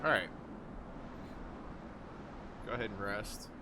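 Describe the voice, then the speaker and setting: A man's voice: on the low side, slow pace. A man giving casual, laid-back video game commentary, thinking aloud and swearing as he plays. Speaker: let's play host. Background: video game music.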